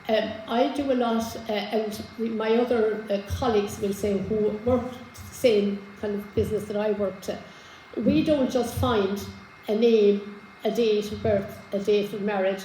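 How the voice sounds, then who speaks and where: A hoarse woman giving an informal genealogy talk to a live audience, chatty, with many fillers and restarts. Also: mid-range voice, steady tone, moderate pace, dark tone, echoey room, slight background noise, rough recording. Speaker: genealogy speaker. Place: community hall with an audience. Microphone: handheld microphone.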